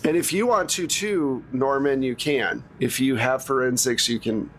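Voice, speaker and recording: A man's voice: medium pitch, moderate pace, a bit bright, slight background noise. A man speaking as game master and host of an actual-play podcast, in a conversational style.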